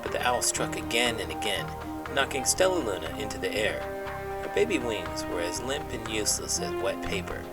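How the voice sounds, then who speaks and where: A low-voiced man narrates a children's storybook with dramatic flair. His pitch swings with lively expression, and loud music plays behind him. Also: moderate pace, recorded from a distance. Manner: dramatic, animated. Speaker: elementary school teacher reading aloud.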